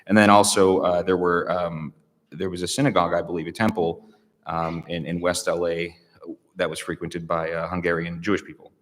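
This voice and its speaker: A man with a very low voice speaks slowly and reflectively, pausing often with fillers and restarting his sentences. He is a guest in a public conversation, and his voice rings with the long reverb of a large hall. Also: flat delivery. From United States. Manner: thoughtful, hesitant, many fillers and restarts.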